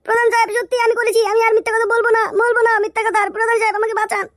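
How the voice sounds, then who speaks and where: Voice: extremely high pitch, level tone, racing, fairly bright tone, dry recording, studio quality. A performer voicing a cowardly young village man, whining and begging in an exaggerated comic Bengali drama style. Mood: pleading.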